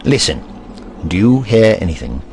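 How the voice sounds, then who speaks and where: A man playing an eccentric, brainy time traveller in an audio drama, kindly but testy, musing aloud in a wordy, gently pedantic manner. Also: lowish voice, sing-song, moderate pace, slight background noise. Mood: wary, musing.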